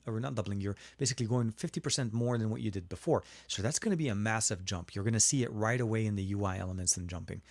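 A man's voice: lowish voice, dry recording. A man from Lebanon hosting a casual live tech stream, answering viewer questions in a chatty way with frequent fillers and restarts. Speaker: tech livestream host.

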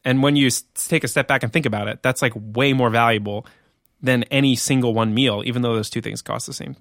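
A man's voice: medium pitch, steady tone, fairly quick, fairly bright tone, dry recording, clean studio sound. A man co-hosting a podcast, talking in a loose, laid-back way with casual swearing. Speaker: podcast co-host. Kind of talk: casual chat.

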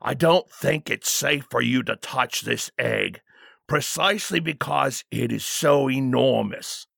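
A man's voice: medium pitch, unhurried, gravelly, fairly bright tone, dry recording, clean studio sound, heavily acted. A man voicing a burly, deferential armored bodyguard in a storybook, speaking in a grave, formal, warning manner. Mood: firm, respectful.

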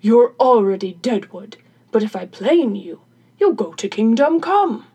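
Folktale carpenter, male, played by a woman: loud, gruff, blunt exclamations, slow and husky. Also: on the high side, sing-song, full character voice. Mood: shocked, blunt. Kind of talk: folktale character voice in storytelling.

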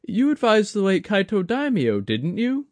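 A man's voice: sits high, huge pitch swings, moderate pace, no room sound, voice pushed up. A man doing an eager young shrine maiden. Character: young woman shrine keeper, warm and eager.

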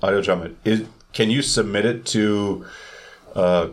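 Man, podcast host, lowish voice, informal questioning, casual chat. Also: measured pace, a little hiss or hum.

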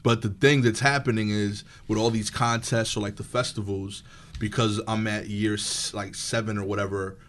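A male stand-up comedian in casual chat, talking in an animated, rambling way full of filler "likes". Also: lowish voice, normal speed, a little hiss or hum.

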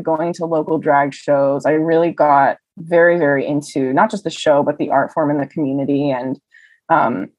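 A young woman telling stories in a casual interview, chatty and animated, with lots of "um" and "like". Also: deep voice, dry recording. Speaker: interview guest. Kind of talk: casual conversational storytelling.

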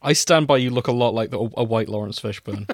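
A man hosts a podcast in an easy, conversational style, trading banter with friends. His voice sits at an average male pitch, and the sound is very dry, with hardly any room on it. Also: fast, no echo. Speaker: podcast host.

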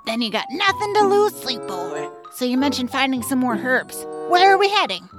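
A woman's voice: high voice, sing-song, normal speed, music in the background. An actress playing a brash, loyal, teasing young female adventurer in a fantasy audio drama. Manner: brash, plucky, slangy.

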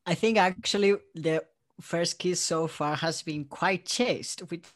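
A man's voice: fairly high pitch, a little slow, dry recording. Spanish-accented man chatting, close dry sound. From Spain. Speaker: interview guest, audio drama creator. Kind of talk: casual interview chat.

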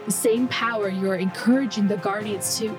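A woman's voice: mid-range voice, fairly even intonation, moderate pace, over music, close to an everyday voice. A woman voicing a furious, frightened female Exo survivor who pleads in anguish, in a story read aloud. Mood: bitter.

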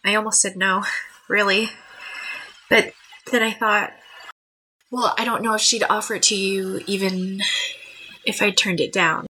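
A woman's voice: medium pitch, steady tone, unhurried, pauses often, pushed and bright, breathy edge, low-quality recording. A woman acting a considerate, apologetic younger sister, a young adult, earnest and hesitant, in a modern audio drama.

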